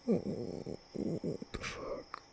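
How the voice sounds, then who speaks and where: A young man playing an awkward, nervous vampire in an ASMR roleplay, stammering and muttering in breathy whispers. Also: a little mellow, a little hiss or hum. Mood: awkward, hesitant. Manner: halting, stammering, muttering to himself. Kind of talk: ASMR roleplay audio drama.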